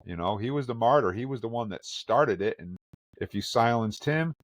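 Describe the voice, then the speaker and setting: A man's voice: mid-range voice, lively intonation, normal speed, no room sound, clean studio sound. A man hosting a podcast, chatting casually and calling on each panelist in turn. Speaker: podcast host and moderator. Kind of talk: casual chat.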